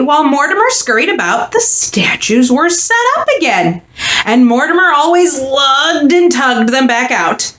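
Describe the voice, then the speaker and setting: A woman reading a children's picture book aloud with expressive, dramatic and deliberate storytelling. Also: on the high side. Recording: echoey room, faint background noise.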